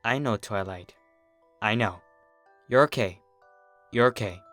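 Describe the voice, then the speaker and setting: Man voicing pony princess mentor, storybook reading, strict, motherly, measured, bright voice, dry sound. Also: fairly low pitch, no echo, soft background music. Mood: soothing, firm. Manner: strict, measured, soothing with her student.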